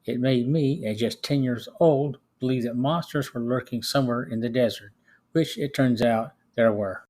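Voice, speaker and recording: A man's voice: mid-range voice, normal speed, a little mellow. A middle-aged or older man hosting a podcast, reading a scripted film review with the occasional stumble. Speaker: podcast host.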